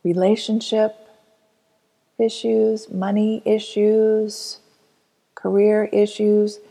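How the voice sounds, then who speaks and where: A woman leading a guided inner child healing meditation, speaking softly and slowly and often dropping to a whisper. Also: mid-range voice, little pitch movement, gravelly, breathy edge. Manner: soft, slow and soothing, often dropping to a whisper.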